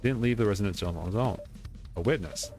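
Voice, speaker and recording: A man with a lowish voice reads game dialogue aloud at a quick clip. He trips over the odd word and corrects himself as he goes. Faint game music runs behind him. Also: a little mellow. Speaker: let's play streamer. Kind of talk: reading game dialogue aloud during playthrough.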